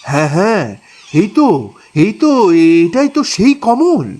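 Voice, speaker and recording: A man playing a greedy, sly and cowardly village boatman in a horror audio story, repeating words haltingly. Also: high-pitched, big pitch swings, darkish tone, full character voice. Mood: startled. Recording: clear noise with chirping crickets.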